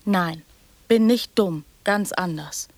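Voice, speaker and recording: A woman's voice: on the low side, slow pace, no echo. An actress playing a young girl in a radio drama, prattling and teasing in childlike fragments that often drop to whispers. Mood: defiant, prattling.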